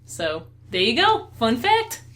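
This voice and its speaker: A woman's voice: on the high side, wildly swooping pitch, slow pace, fairly bright tone. Woman from the us rambling on a podcast, laughs a lot, bit of hiss behind. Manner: rambling, laughs often, self-deprecating. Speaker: podcast co-host. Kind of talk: casual chat.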